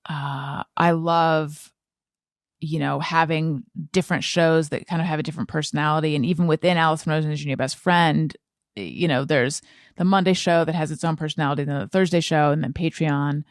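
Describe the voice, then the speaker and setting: A woman hosting a podcast, talking casually and haltingly as she thinks aloud and repeats words. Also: sits low, steady tone, no echo, clean studio sound. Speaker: podcast host and interviewer. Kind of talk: casual conversational podcast talk.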